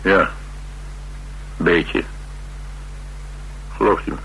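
An actor playing a rough, hard-drinking drifter and former mechanic in a radio drama, speaking tersely with dry, slangy nonchalance. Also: male voice, low voice, extremely slow, bright, forceful tone, heavily acted, poor audio. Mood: cagey, dry. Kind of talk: naturalistic Dutch radio drama acting. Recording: radio recording with background hiss and hum.

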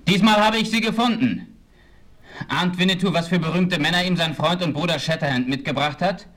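A man's voice: fairly high pitch, brisk, fairly bright tone. An actor playing a proud, eager western plainsman who announces things with a flourish in an audio drama. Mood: proud excitement. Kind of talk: 1970s German western audio drama acting.

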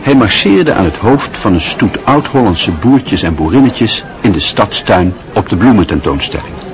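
A man narrating a radio play in a measured, expressive storytelling manner. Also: fairly low pitch, low-quality recording.

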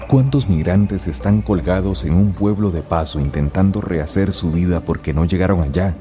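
A man's voice: low voice, moderate pace, warm tone. A man delivering dramatic, scripted documentary narration as a radio narrator. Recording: music behind the voice.

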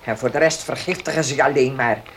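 An actress playing an imperious, restless elderly mistress of a house in a radio drama, commanding and grumbling with halting speech. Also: female voice, a bass voice, a little hiss or hum. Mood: scornful, grumbling. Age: older. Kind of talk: Dutch radio drama acting.

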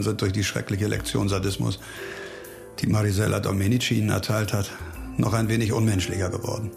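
An actor playing a grim, hard-bitten police investigator in a radio crime drama, speaking insistently and probingly, with music behind him. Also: male voice, lowish voice, vocal fry. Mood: cold, resolute.

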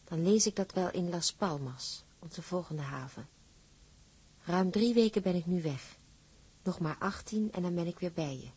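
A sweetheart reading a letter aloud in an audio drama. Her voice is very husky and on the low side. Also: moderate pace, a little mellow. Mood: fond.

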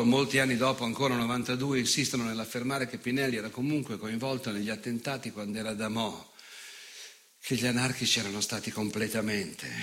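An actor playing an unrepentant, ageing fascist in a theatrical monologue, declamatory and sardonic, repeated phrases and dramatic pauses. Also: male voice, mid-range voice, sharp tone, slight background noise, close to an everyday voice. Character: unrepentant ageing fascist nostalgic, bitter, sneering, menacing man.

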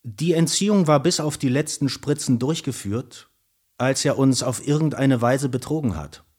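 A man's voice: medium pitch, normal speed, a little mellow, husky, close to an everyday voice. Formal, officious man acting a doctor. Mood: reproachful. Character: formal, stern male sanatorium doctor reading out reports. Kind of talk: scripted radio play, letter reading.

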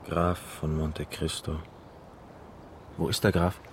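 A devoted young man steeped in despair. The voice is low and earnest, with formal, emotional radio drama delivery. Faint noisy ambience sits behind it. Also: flat delivery, takes it slowly, creaky, exaggerated voice. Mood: stirred, urgent. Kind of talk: German radio drama acting.

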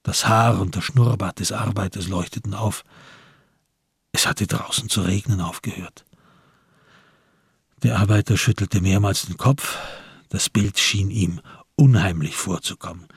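A man narrating a literary radio drama in a measured way with dramatic emphasis. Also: fairly low pitch, creaky, breathy edge, no room sound, clean studio sound.

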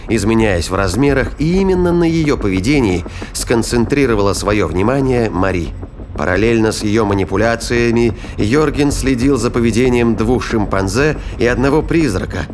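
A man giving scripted dramatic narration of a science fiction thriller in a deliberate, suspenseful manner. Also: lowish voice, a little hiss or hum. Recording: music behind the voice.

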